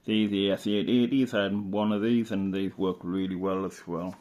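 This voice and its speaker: A middle-aged man from England speaks with the local in a fairly low voice. He gives a casual, rambling commentary, hesitating and thinking aloud like a hobbyist showing off his collection. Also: fairly even intonation, moderate pace, a little mellow. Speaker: hobbyist vlogger.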